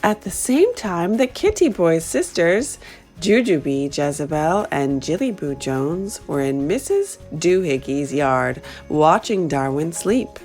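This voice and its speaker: A woman reading a children's picture book aloud in an expressive, animated storytelling style. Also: low-pitched, slow pace, faint music behind.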